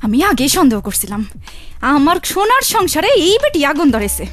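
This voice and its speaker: An actress playing a jealous, suspicious, sharp-tongued wife in a comic radio drama. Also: female voice, sing-song, brisk, soft background music. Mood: jealous scolding. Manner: shrill, sarcastic, confrontational.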